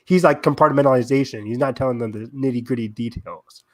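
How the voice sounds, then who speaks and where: A man's voice: medium pitch, fast, a little mellow. A man co-hosting a podcast, talking casually in a fan discussion. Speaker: podcast co-host.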